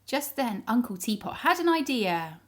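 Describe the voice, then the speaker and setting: A woman reading a story aloud to young children in an expressive storytelling style. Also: a little high. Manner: pauses to ask the child listeners questions.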